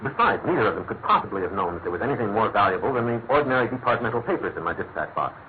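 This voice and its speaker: An actor playing an earnest, anxious British government minister in a classic radio drama, speaking formally and emphatically. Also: male voice, on the low side, sing-song, racing. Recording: thin narrow-band old radio recording, faint noise.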